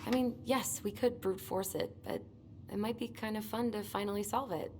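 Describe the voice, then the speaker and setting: A woman plays a practical, playful young American in a narrative game. She sounds conversational and gently coaxing, at an average pitch. The recording carries clear background noise. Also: moderate pace, natural voice. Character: practical, playful young woman, supportive sibling and puzzle lover. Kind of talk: video game dialogue acting. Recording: noisy streamed game audio.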